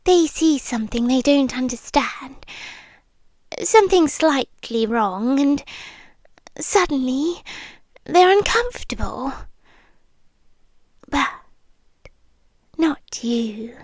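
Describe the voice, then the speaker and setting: A British woman playing a sweetly menacing, possessive vampire girlfriend in an ASMR roleplay, speaking in coaxing, breathy whispers with pauses, close to the microphone. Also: high-pitched, animated, darkish tone, no echo. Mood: soothing, menacing. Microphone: close microphone, dry.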